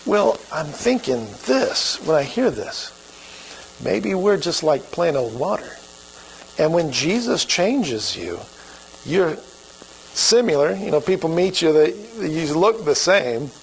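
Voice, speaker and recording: A male pastor with an Oklahoma accent speaks in a folksy, conversational sermon. His voice sits a touch high and carries a gravelly rasp. A faint hiss of noise lies under the recording. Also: very animated, slow pace, low-quality recording.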